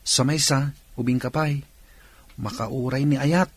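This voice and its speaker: Earnest young man, medium-pitched. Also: fairly even intonation, a little slow, slightly rough, no echo. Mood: protective. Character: earnest, protective young student, an older brother. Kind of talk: Ilocano radio drama acting.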